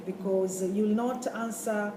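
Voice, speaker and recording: A woman speaks haltingly, pausing on "uh" and restarting her sentences as she explains. She sounds roomy and set back from the microphone. A steady noisy ambience runs underneath her voice. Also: medium pitch. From Tanzania. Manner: hesitant, many uh pauses and restarts. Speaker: secondary school principal. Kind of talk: casual interview answers. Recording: echoey room, far from mic, noisy.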